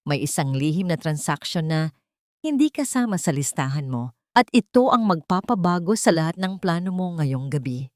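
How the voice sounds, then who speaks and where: A woman's voice: low-pitched, sing-song, moderate pace, a little mellow, no room sound, studio quality. A woman voicing a grave elderly board member who gives an urgent warning in an audio drama.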